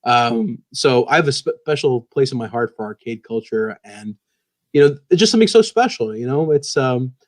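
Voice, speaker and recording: A man's voice: medium pitch, expressive, moderate pace. A man in his thirties, born in the US and raised in Pakistan, chatting enthusiastically as a podcast guest with many fillers. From born in the US, grew up in Pakistan. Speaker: guest author. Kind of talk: casual podcast chat.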